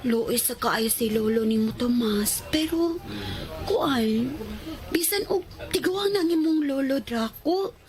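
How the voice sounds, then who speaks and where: Young cebuano college girl in a radio drama, kinda high voice, chatty, shy and halting, gossipy. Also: lively intonation, darkish tone. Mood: earnest, hopeful. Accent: Cebuano Filipino. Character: Kim, chatty, hesitant young woman, a graduating student.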